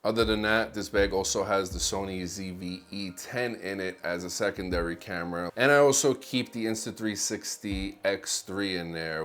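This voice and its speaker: A man with a deep, bassy voice giving a relaxed, enthusiastic rundown of his camera gear, recorded on a wireless clip-on mic. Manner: relaxed, enthusiastic product talk. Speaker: videographer vlogger.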